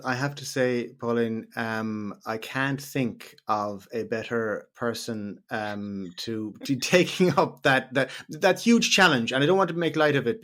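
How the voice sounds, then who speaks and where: A man's voice: mid-range voice, fairly bright tone. A man, hosting an interview podcast, speaking hesitantly with many fillers and repeated words. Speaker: podcast host. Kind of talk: interview conversation.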